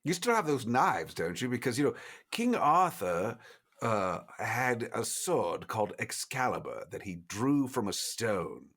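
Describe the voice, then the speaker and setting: Casting: man as mock-polite vampire interrogator, unhurried and animated in pitch, sly threats, for role-play horror. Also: heavily acted. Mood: sly menace. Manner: hesitant, musing, mock-polite.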